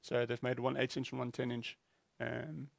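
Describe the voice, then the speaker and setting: Middle-aged male co-host, creaky voice, dry studio sound, informal and slightly hesitant product explainer. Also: mid-range voice, flat delivery, normal speed, no echo. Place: small indoor studio set at a desk.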